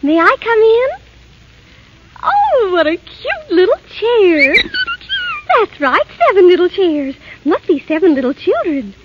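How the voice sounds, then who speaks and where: A woman's voice: very high voice, very animated, measured pace, heavy background noise, heavily acted. A woman playing a sweet, innocent young fairy-tale princess with childlike, theatrical delivery in 1930s radio style. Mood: delighted, curious. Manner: wide-eyed, childlike, theatrical exclamations. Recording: muffled 1938 radio recording with music.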